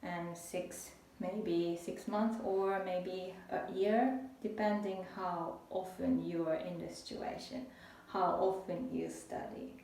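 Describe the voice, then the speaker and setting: A young adult woman from Japan, speaking English with a Japanese accent, giving a casual, halting language lesson as a tutor. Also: lowish voice, fairly even intonation, warm tone, far from the mic, a little hiss or hum. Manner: unhurried, halting, restarts phrases. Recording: echoey room, voice off-mic.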